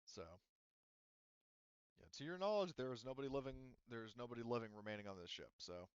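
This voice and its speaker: A man narrates a tabletop game casually as game master. His voice is smooth and clear at a middling pitch. The sound is dry, with a slightly muffled, lo-fi edge. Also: sing-song, quick pace, dry recording.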